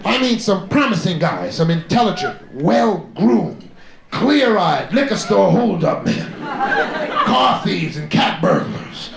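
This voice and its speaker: A man's voice: high voice, big pitch swings, slow, vocal fry, background noise. A man performing a satirical stand-up comedy monologue as a comedian before a live audience. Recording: echoey live club, loud audience.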